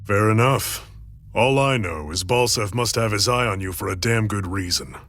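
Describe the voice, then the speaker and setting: An actor playing a gruff, composed resistance leader in a video game, speaking with wry, measured authority. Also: male voice, on the low side, fairly bright tone, slight background noise, close to an everyday voice. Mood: wry, probing. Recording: background music under voice.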